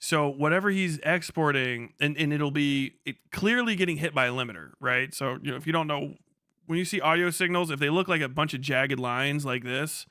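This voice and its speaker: A man's voice: fairly high pitch, brisk, bright, forceful tone, clear, resonant tone, dry recording, clean studio sound. A man hosting a livestream with casual, slangy, profanity-laden commentary. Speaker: livestream host.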